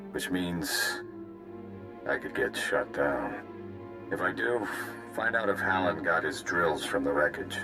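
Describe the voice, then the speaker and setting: A tough, rueful male smuggler in a video game. His voice is extremely deep, and he speaks in a grim, confiding, plain way, as if leaving a log, over clear music. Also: full character voice.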